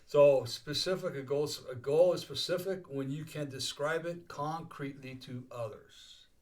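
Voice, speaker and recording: A man's voice: on the high side, a little slow. Man in his sixties, podcast host, casual coaching talk, repeats words, restarts phrases, asks "okay?". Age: 60s.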